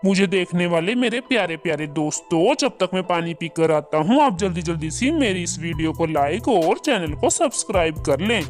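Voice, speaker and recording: A man's voice: sits high, lively intonation, moderate pace, few pauses, soft background music. A man voicing a greedy, bullying male crow who sells samosas in a cartoon, with a scolding, boastful manner. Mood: smug. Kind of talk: Hindi cartoon voice acting.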